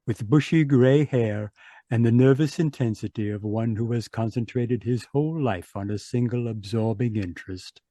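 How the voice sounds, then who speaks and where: An actor playing a sly, macabre host who introduces eerie tales in a theatrical, drawn-out old-time radio style. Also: male voice, on the low side, dark tone, no echo, studio quality, natural voice. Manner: theatrical, sinister, drawn-out phrasing. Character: The Mysterious Traveler, sly macabre mystery host.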